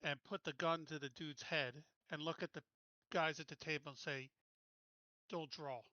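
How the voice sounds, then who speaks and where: A man's voice: on the high side, steady tone, normal speed, sharp tone, no echo, dull audio. A man talking casually as a player in a tabletop roleplaying game.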